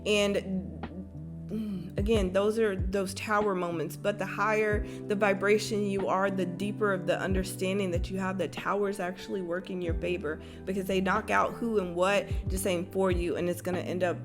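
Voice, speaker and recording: A woman's voice: medium pitch, steady tone, normal speed. A young woman with a light Texas accent giving a casual tarot reading, blunt and conversational, swearing freely and using slang. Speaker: tarot reader and spiritual channel host. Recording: guitar music playing behind.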